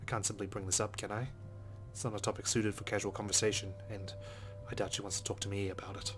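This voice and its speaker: Male let's play host, low flat delivery, reading visual novel narration, clear game music behind. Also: fast talker.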